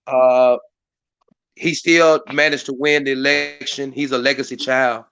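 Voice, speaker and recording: A hoarse man hosts a community live stream in a rambling way, full of fillers and restarts. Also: mid-range voice, little pitch movement, a little slow, fairly bright tone, no room sound, lo-fi sound. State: hoarse, voice partly lost. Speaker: community activist hosting a live stream meeting. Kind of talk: casual hosted talk. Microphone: live stream mic.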